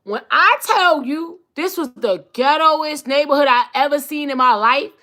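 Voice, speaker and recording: Brash New York woman with a bright voice. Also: sits high, lively intonation, normal speed. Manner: blunt, profane, sassy. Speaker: live-stream host. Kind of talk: casual live-stream chat reading comments.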